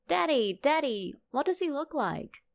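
A woman's voice: high voice, measured pace, vocal fry, pitched above the performer's own voice, exaggerated voice. A woman voicing an eager, inquisitive, nervous little boy in a horror story reading. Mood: eager, curious. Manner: excitable, inquisitive.

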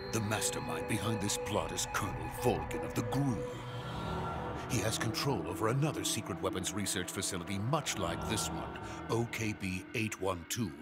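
Man acting grave, low-voiced Soviet scientist. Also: natural voice. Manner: grave, formal, measured explanation. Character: anxious middle-aged male Soviet rocket scientist, grave and bitter. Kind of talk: dramatic video game voice acting. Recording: loud music behind the voice.

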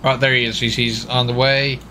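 A man's voice: mid-range voice, level tone, normal speed, crowd noise. A man in casual roleplay game chat, talking informally with folksy exclamations. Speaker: gamer roleplaying a US marshal on stakeout.

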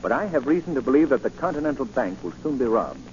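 An actor playing a suave, composed gentleman sleuth, courteous and assured, in 1940s radio style. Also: medium pitch, very animated, fast talker, close to an everyday voice. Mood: urgent. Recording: muffled, hissy 1940 radio recording.

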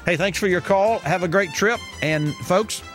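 A man's voice: high-pitched, moderate pace, a bit bright, soft background music. A man in his fifties hosting a call-in car advice radio show, talking casually and rambling with plenty of fillers and restarts. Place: radio studio with colorful sign backdrop. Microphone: studio mic on boom arm, wearing headphones.

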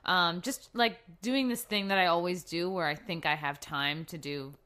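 Woman, 30s, comedian guest: bright, chatty, self-deprecating, loose and filler-heavy. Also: lowish voice, moderate pace. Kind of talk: casual interview chat.

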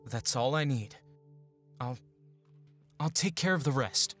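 A man's voice: medium pitch, expressive, measured pace, faint music behind, natural voice. A man playing an earnest, hesitant young farm lad, a protective older brother, in an audio drama. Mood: earnest, pleading.

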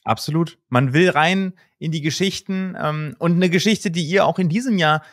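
A man in his thirties hosting an interview, talking quickly and enthusiastically to his guest and to the viewers. He speaks into a studio microphone on a video call. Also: on the high side, no echo. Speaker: host.

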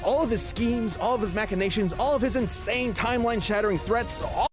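A man's voice: high voice, a little fast. A man reading scripted explainer narration as a show co-host, in a precise, lecturing, nerdy manner. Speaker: co-host of a versus analysis show. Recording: polished studio voice-over with music behind.

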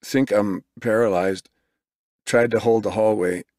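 A badly wounded teacher, his speech halting and weak but urgent, as a narrator reads him. The voice sits fairly low and sounds dry and close. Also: fairly even intonation, darkish tone, no room sound, close to an everyday voice.

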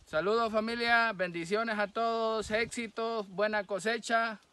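A man's voice: sits high, steady tone, a little slow, bright, forceful tone, clear, resonant tone. A man in his thirties with a Honduran accent, talking informally and complaining in colloquial, sweary speech as he addresses fellow farmers outdoors. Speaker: coffee farmer and vlogger. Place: outdoors on a coffee farm.

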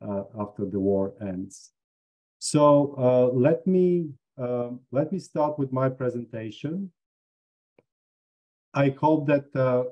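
A man's voice: mid-range voice, dull audio. A man from Bulgaria, a business school dean, giving an online lecture with frequent fillers. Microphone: video call.

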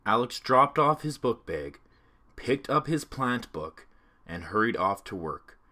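Male narrator for a kids' read-along, bright and expressive. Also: mid-range voice, a little slow. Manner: clear, expressive reading for children.

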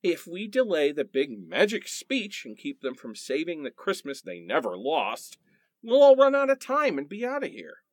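Man as a sardonic, highish-voiced sitcom husband. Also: wildly swooping pitch, normal speed, dry recording. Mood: excited. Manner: sarcastic, wry. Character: sardonic married sitcom man, bewildered and quick to quip. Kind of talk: comic radio play acting.

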